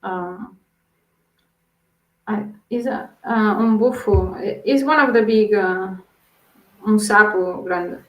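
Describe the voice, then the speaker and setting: A woman's voice: mid-range voice, slow, pauses often, echoey room, poor audio. A woman from Portugal with a Portuguese accent, a researcher answering interview questions with many fillers and restarts. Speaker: guest researcher.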